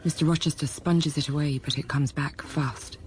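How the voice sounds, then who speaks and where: An actress playing a dutiful young governess in a period radio drama, speaking in a measured, restrained, formal way. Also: female voice, sits low, fairly even intonation, a little mellow, a little hiss or hum, lightly acted. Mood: hushed, tense. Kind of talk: period radio drama acting and first-person narration.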